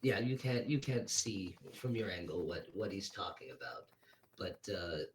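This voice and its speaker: A man's voice: low-pitched, lively intonation, moderate pace, a little hiss or hum, rough recording. A man narrating a tabletop game as game master, describing scenes hesitantly while he searches for words.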